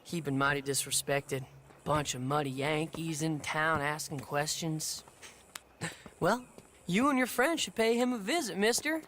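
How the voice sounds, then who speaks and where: A man's voice: fairly high pitch, unhurried, fairly bright tone, slight background noise. A male performer playing a cocky, taunting street urchin boy in a video game, drawling with mock politeness. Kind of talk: cinematic video game acting.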